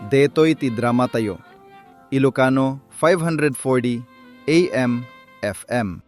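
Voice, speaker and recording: A male narrator tells a radio drama in a scripted, dramatic manner. His voice sits at a medium pitch with music playing behind it. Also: takes it slowly, a little mellow. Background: music bed.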